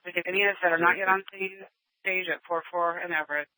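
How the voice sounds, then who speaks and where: Very fast, high-voiced male dispatcher. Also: level tone, no echo. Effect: police radio. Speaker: police radio dispatcher. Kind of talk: clipped dispatch radio traffic. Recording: sharp, dry scanner radio, telephone-like.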